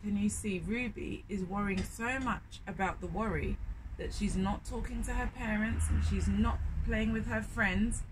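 A woman in her thirties reading a picture book aloud to young children, with expressive drawn-out emphasis and questions put to the listener. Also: medium pitch, noisy background. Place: indoors in a bookshop, in front of shelves.

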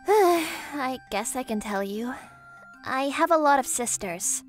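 A woman voicing a bashful but feisty, secretly sweet teenage schoolgirl maid in a manga romcom dub, shy and halting. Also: high voice, animated. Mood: earnest, bashful. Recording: music behind the voice.

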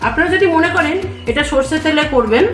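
A woman hosting a cooking channel, giving recipe instructions in a measured, step-by-step way in short phrases. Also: sits high, expressive. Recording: roomy echo, music behind.

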